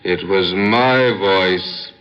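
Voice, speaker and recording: An actor playing a vengeful, menacing ghost in an old radio horror play, drawing out ominous threats. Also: male voice, sits low, clear, resonant tone. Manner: slow, drawn-out, ominous threats. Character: vengeful ghost of a slain man, slow and menacing. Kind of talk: 1940s radio horror acting. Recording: muffled old radio recording with music.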